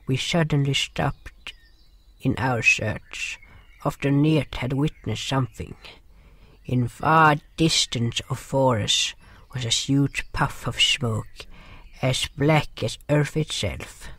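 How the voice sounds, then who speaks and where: A man playing a gentle nonhuman swamp creature who narrates a fantasy audio drama haltingly, in broken grammar with dropped articles. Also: mid-range voice, husky, no echo, full character voice. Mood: solemn storytelling. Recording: music behind the voice.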